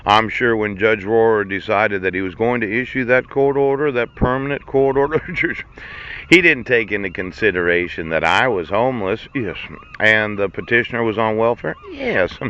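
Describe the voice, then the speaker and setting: A man's voice: lowish voice, clean tone. A man in his fifties ranting in a sarcastic monologue, laughing between lines and swearing. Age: 50s. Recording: dull lo-fi recording.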